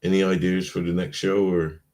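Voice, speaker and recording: A man co-hosting a casual live-stream chat, drowsy from being stoned, rambling and trailing off in a mellow way. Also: deep voice, level tone, normal speed. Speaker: podcast co-host.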